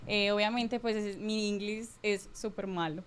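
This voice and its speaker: A woman's voice: medium pitch, steady tone, moderate pace, slight background noise. Hesitant woman, distant from the mic. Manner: hesitant, apologetic. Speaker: guest asking a question.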